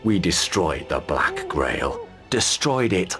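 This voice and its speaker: A man's voice: low voice, big pitch swings, slow, over music, exaggerated voice. A man playing a battle-hardened, fearless commander in a fantasy game, speaking tersely with dry resolve. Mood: grim. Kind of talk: dramatic game cutscene acting.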